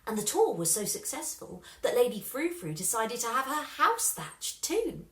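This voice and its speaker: A woman reading a children's story aloud with expressive, dramatic emphasis. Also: fairly high pitch, moderate pace, echoey room. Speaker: storybook reader.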